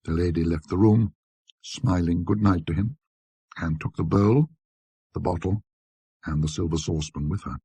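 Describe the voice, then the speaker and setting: A man reads a literary ghost story in a low, mellow voice with a husky grain. His delivery is scholarly and measured, with careful dramatic pauses. The sound is close and very dry. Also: dry recording. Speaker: narrator. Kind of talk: scripted ghost story narration, classic British literary reading.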